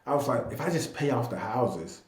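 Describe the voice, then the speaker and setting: A man's voice: mid-range voice, animated, fairly quick. Man around thirty, emphatic storyteller, echoey room. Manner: emphatic, repeats phrases, acts out quoted dialogue. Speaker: real estate agent and investor being interviewed. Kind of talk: animated personal storytelling in casual talk.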